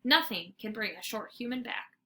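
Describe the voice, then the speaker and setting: A woman voicing a gentle, concerned female dog in a storybook, speaking with careful sympathy. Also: fairly high pitch, lively intonation, normal speed, slight background noise. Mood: hesitant, frank.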